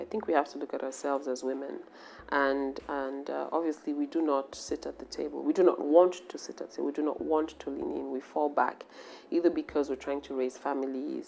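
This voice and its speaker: A woman in her forties from Cameroon, an HR professional answering interview questions in a measured, reflective way. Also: sits low, creaky, a little mellow, slight background noise. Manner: measured and reflective, pausing to gather thoughts.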